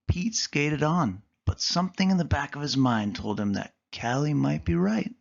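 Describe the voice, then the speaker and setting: A man reading a children's picture book aloud with expressive storytelling. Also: a little high, normal speed, gravelly, dull audio.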